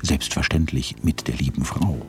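A man's voice: extremely low pitch, normal speed, faint music behind. An actor voicing an ironic male narrator in a radio play, with dry asides.

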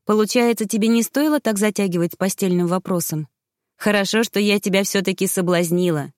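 A woman voicing a playful young wife in an audiobook, coy and theatrical, quick to take offense. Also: medium pitch, no room sound, clean studio sound, lightly acted. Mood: playful teasing. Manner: theatrical, coy, sharp when crossed.

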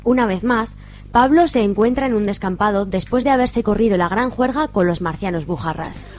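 A woman's voice: medium pitch, fairly quick, darkish tone, soft background music. A woman with an accent from Spain reading scripted narration for a radio soap.

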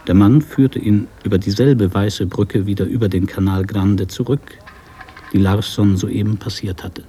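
Deep mellow guy narrating a radio drama, sober and measured, faint hiss behind. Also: steady tone.

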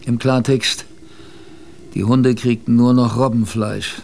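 A gravelly, wry polar survivor narrating. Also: male voice, mid-range voice, little pitch movement, slow, a little mellow, slight background noise. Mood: wry, reminiscing. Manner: wry, colloquial storytelling. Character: plainspoken male polar expedition survivor recalling hardship, wry. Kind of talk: conversational radio drama narration.

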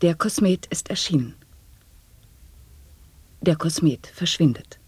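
A performer reciting experimental sound poetry in a studio radio play, very slow and quiet, sometimes whispering. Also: mid-range voice, expressive, breathy edge, no echo. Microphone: studio microphone.

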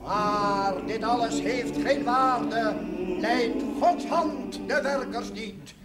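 A man's voice: very high voice, very slow, full character voice. An actor playing a solemn man who declaims pious verse in a grandiose, stately manner for a television drama. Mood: solemn, exalted. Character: solemn man reciting a pious ode to miners. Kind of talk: declamatory stage recitation in verse. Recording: roomy hall, loud music, 1970s television soundtrack.

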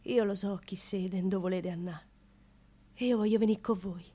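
A woman's voice: mid-range voice, fairly even intonation, moderate pace, mellow, close to an everyday voice. Actress playing an ardent Roman woman in dialect monologue. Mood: ardent, pleading. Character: young Roman woman recounting her ardent, insistent suitor's plea. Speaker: stage actress.

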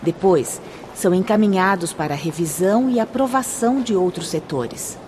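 A mellow, dark-toned actress playing a female spirit guide speaks with a Brazilian accent over faint noise. She is composed and courteous, giving formal instruction in radio-play style. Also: fairly low pitch, moderate pace, natural voice. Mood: calm explaining. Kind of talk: Brazilian radio drama acting.

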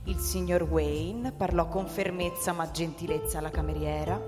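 A woman narrating a scripted literary story for a radio drama in a measured storytelling manner. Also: on the low side, fairly even intonation. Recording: long hall reverb, music behind.

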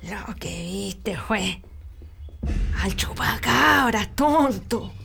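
Woman playing old village healer, mysterious and bossy, rural Chilean accent, unhurried delivery, scolding, radio drama. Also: medium pitch, lively intonation, gravelly, a little hiss or hum, full character voice. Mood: hushed, ominous. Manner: scolding, colloquial, sometimes hushed.

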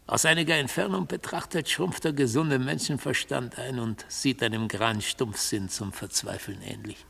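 A gravelly, stern philosophiser. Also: male voice, medium pitch, bright, forceful tone, full character voice. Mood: disdainful, measured. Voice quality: gravelly, husky. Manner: measured, declamatory, disdainful. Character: stern, mystical accused man, philosophising in court. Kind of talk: 1970s radio drama monologue.

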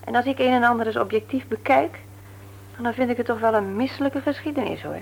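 An actress in a Dutch radio play, playing a brisk, teasing, no-nonsense nurse married to a man she likes to mock. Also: a little high, gravelly. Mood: firm, critical. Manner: brisk, mocking, matter-of-fact. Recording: noisy old radio recording with hum.

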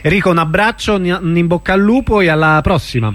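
A man's voice: high-pitched, fairly quick, fairly bright tone, slight background noise. A man hosting an informal radio interview, asking questions in a conversational way.

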